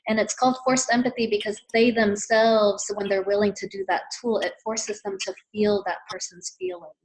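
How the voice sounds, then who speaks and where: A woman's voice: mid-range voice, moderate pace, poor audio. Friendly female webinar host. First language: Vietnamese. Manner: friendly, encouraging, relaxed. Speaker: therapy trainer, webinar host. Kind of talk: webinar wrap-up chat. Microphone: video call.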